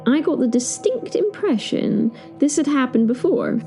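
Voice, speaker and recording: A woman playing a timid, flustered professor, telling her story in the first person with expressive, hesitant, wry asides. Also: high voice, measured pace, soft background music. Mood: uneasy, descriptive.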